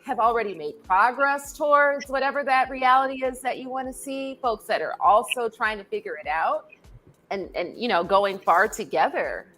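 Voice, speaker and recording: A woman's voice: on the high side, moderate pace, fairly bright tone, slight background noise, poor audio. A woman speaking as a podcast guest in a reflective discussion, thoughtful and often repeating words as she works out her point.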